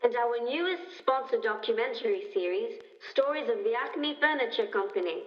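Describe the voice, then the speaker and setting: A woman's voice: a little high, expressive, normal speed, natural voice. A woman playing a chipper old-time radio station announcer in a stage play. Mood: promotional. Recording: distant mic in hall, dull.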